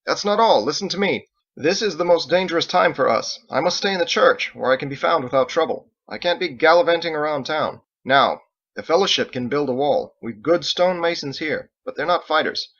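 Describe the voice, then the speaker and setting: A man voicing a courteous, resolute paladin leader with formal, measured phrasing, in an illustrated story reading. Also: fairly high pitch, animated, a bit bright, muffled recording, pitched above the performer's own voice. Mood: commanding, urgent.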